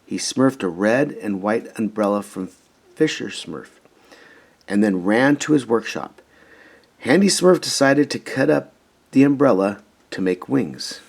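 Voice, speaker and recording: A man reading a children's storybook aloud in an expressive, emphatic way. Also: on the low side, measured pace, vocal fry, slightly rough. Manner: animated, emphatic, dramatizing story moments. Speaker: storybook reader.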